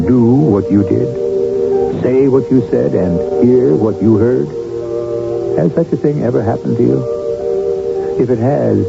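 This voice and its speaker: A man hosting a radio drama with deliberate, ominous, dramatic scripted narration. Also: medium pitch, gravelly. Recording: dull old radio recording with loud music. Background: loud dramatic music.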